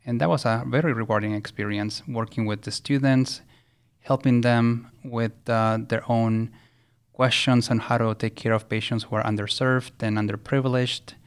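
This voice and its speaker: A man from Chile in his thirties, telling his career story in a measured, earnest way as a podcast guest. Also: mid-range voice, fairly even intonation, smooth. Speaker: guest, nursing regulator. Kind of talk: podcast interview.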